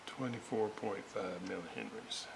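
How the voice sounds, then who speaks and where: A man with a gravelly voice, muttering haltingly to himself as he thinks aloud through technical work and repeats readings. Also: on the low side. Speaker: radio restorer. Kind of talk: thinking aloud while working. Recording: clearly noisy workshop recording.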